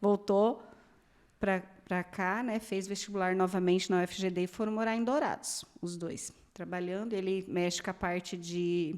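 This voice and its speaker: A woman's voice: medium pitch, fairly even intonation, normal speed, a little mellow. Chatty Brazilian woman in her fifties. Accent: Brazilian Portuguese. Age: 50s. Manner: chatty, storytelling, laughs often. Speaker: guest, pre-candidate. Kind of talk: casual podcast chat.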